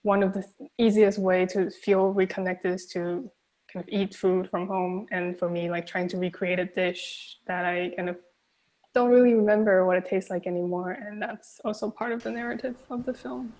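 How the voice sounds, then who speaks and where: Young woman, from Taiwan, native Mandarin speaker, flat delivery, soft-spoken, reflective film director. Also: mid-range voice, moderate pace, low-quality recording. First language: Mandarin Chinese. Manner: soft-spoken, thoughtful, with frequent "um" and "kind of". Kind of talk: reflective festival Q&A answers.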